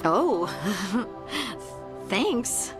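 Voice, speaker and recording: A woman's voice: a little high, very animated, extremely slow, heavily acted. A woman playing an earnest, awkward, cheerful young scout with magic in a video game, bashful and a little hesitant. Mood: flustered. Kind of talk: naturalistic video game voice acting. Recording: game music behind the voice. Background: game music.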